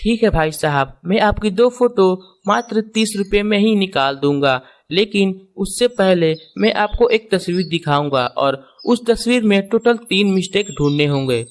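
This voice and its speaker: A woman's voice: mid-range voice, lively intonation, moderate pace, few pauses, close to an everyday voice. A woman plays a male shopkeeper in a children's cartoon. He is courteous and businesslike, setting out his terms in an explanatory way. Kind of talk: Hindi children's cartoon story.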